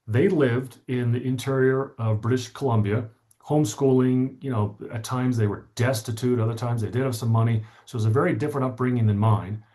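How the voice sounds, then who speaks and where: A man's voice: mid-range voice, fairly even intonation, brisk, dark tone. A middle-aged man speaking as an interview guest, explaining his story articulately and stressing points by repetition. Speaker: podcast guest, author.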